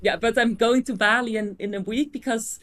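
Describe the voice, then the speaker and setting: A woman's voice: on the high side, fairly even intonation, brisk, a bit bright. A Dutch-born woman chatting as a podcast guest, often restarting her sentences and laughing easily. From Netherlands. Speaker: podcast guest, agency owner. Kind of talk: casual interview chat.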